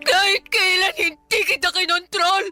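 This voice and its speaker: A woman's voice: very high voice, fairly even intonation, normal speed, sharp tone, faint music behind, exaggerated voice. A woman playing a heartbroken, desperate young woman in a radio drama, pleading tearfully and stubbornly.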